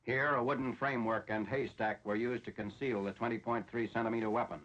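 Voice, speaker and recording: A man's voice: medium pitch, fairly even intonation, brisk, fairly bright tone. Dull vintage recording of an American newsreel man. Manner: formal, matter-of-fact reporting. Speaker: military newsreel narrator. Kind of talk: 1940s newsreel scripted narration. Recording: dull, lo-fi 1940s film soundtrack.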